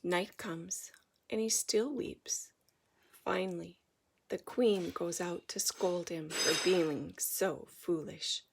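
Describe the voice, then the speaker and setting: A woman reading a children's story aloud in an animated, dramatic storytelling manner. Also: fairly low pitch, takes it slowly, creaky, slight background noise. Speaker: storybook reader.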